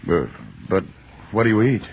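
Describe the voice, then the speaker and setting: An actor playing an awestruck, frightened and insistently curious husband, stammering urgent questions in an old-time radio horror drama. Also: male voice, lowish voice, lively intonation, moderate pace. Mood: fearful. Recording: narrow-band old radio broadcast with hiss.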